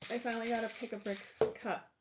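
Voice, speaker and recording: A hoarse, tired-sounding woman hosting a casual livestream, rambling and thinking aloud as she sets up. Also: on the high side, little pitch movement, fast talker, background noise. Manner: rambling, self-deprecating, thinks aloud while sorting pieces. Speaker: livestream host. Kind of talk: casual livestream chat while setting up a build.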